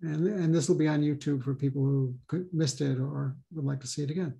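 A man hosting a talk over a video call, speaking haltingly with many pauses and ums. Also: on the high side, fairly even intonation, vocal fry, warm tone. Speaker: host and co-chair.

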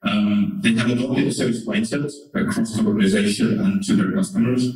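A man's voice: on the low side, fairly even intonation, fairly quick, a little mellow. A man in his thirties with an Estonian accent, giving a conference talk into a handheld mic with wry asides and frequent "right?" checks. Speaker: conference speaker. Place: large conference hall, indoors. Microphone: handheld microphone through hall speakers. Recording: echoey hall, from far off.

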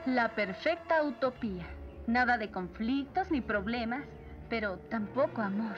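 A woman's voice: a little high, lively intonation, unhurried, lo-fi sound, over music. A woman playing a young, principled guard captain in a cartoon, polite but outspoken, with a Latin American Spanish accent. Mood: indignant. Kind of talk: dubbed animated series acting.

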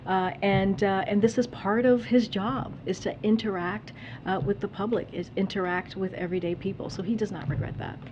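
A woman speaks from a podium in a briefing room, fielding questions in a measured, firm way. She often restarts her phrases. A faint hiss sits under the podium microphone. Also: medium pitch, fairly even intonation, highs cut off. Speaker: press secretary. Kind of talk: press briefing answers.

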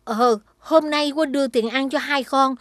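Young cartoon mum, motherly and gently firm, high voice, dry studio sound. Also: fairly even intonation, a little slow, no echo. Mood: caring. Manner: motherly, explaining to children. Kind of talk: children's cartoon voice acting.

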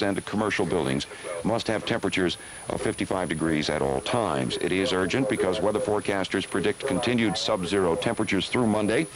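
A man's voice: sits low, rough recording. An American man hosting live 1970s radio, reading storm announcements and bulletins. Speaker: radio host. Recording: 1970s radio aircheck on cassette.

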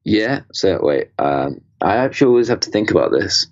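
Low-voiced young man, rambling. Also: very animated, normal speed, highs cut off. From England. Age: 20s. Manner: rambling, many fillers and restarts. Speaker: podcast guest, entrepreneur. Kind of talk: casual interview chat.

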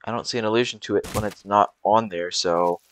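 A man's voice: on the low side, fairly even intonation, fairly quick, a little hiss or hum. A young man giving rambling, casual commentary over gameplay, with frequent fillers and restarts. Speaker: gameplay video creator.

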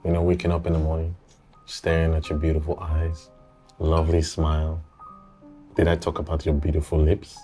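A man acting in a film drama as a smooth-talking lover who gets evasive and defensive when cornered. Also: very deep voice, monotone, normal speed, warm tone, soft background music. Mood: flirtatious.

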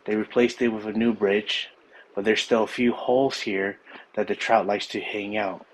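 A man explaining his fishing trip and gear in a relaxed, casual way on a snowy riverbank, recorded on a body camera. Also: on the low side, moderate pace, rough recording. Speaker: fishing vlogger. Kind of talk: casual outdoor narration.

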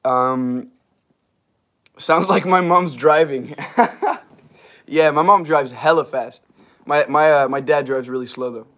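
A young man in his twenties talks on a relaxed livestream, his voice slightly hoarse and strained. He rambles loosely, full of fillers and quick laughs, as he answers viewer comments. He sounds close to a device camera held at arm's length in a small wood-paneled garage room. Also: fairly high pitch. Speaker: livestream host. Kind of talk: casual livestream chat reading comments.

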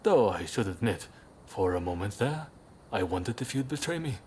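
Guy voicing a young samurai leader in a game, courteous and composed, really expressive pitch, earnest, bit of background noise. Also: lightly acted. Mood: grave, thoughtful. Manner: polite, measured, earnest. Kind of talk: video game cutscene acting.

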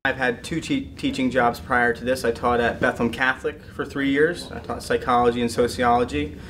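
A man in his twenties from New Jersey with an American accent, a high school teacher giving interview answers. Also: level tone, fairly quick, music in the background. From New Jersey, United States. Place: dim school room, seated.

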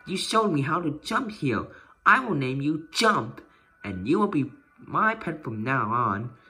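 A man's voice: fairly high pitch, sing-song, a little slow, sharp tone, soft background music, full character voice. A man voicing an eager young boy in a children's storybook. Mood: eager, happy.

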